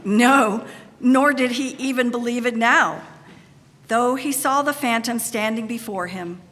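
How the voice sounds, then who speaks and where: A woman narrates a story in a fairly high, bright voice at an unhurried pace. Her dramatic, expressive reading carries long hall reverb. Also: slight background noise. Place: large church, live performance.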